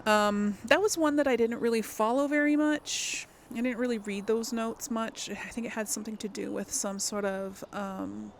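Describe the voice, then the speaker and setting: A woman's voice: fairly high pitch, fairly even intonation, normal speed, hardly any pauses, a little hiss or hum. A woman giving casual let's play commentary as host of a gaming video, chatty and rambling as she thinks aloud, often hesitating and correcting herself.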